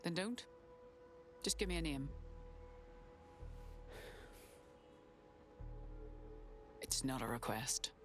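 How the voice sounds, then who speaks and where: An actress playing a cool, terse resistance hacker operative in a video game, curt and assured. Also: female voice, deep voice, lively intonation, normal speed, over music. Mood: firm, cold. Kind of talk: video game cutscene acting.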